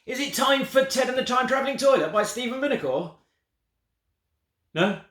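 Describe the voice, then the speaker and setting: Guy reading a picture book to kids, lively up and down voice, roomy echo. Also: very high-pitched, normal speed. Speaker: storybook reader. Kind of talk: expressive children's storybook reading. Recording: roomy, echoing sound.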